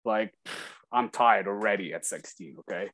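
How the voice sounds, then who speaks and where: A man's voice: fairly low pitch, moderate pace. A man from Kazakhstan chatting casually as an interview guest, telling anecdotes and acting out bits of dialogue as he goes. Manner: animated retelling with acted-out dialogue. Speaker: interview guest, former hockey player.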